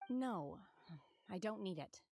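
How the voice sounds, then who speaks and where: A woman playing a cool, aloof, quietly dangerous young woman in a video game, measured and detached, with breathy sighs. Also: mid-range voice, expressive, a little hiss or hum. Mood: cold.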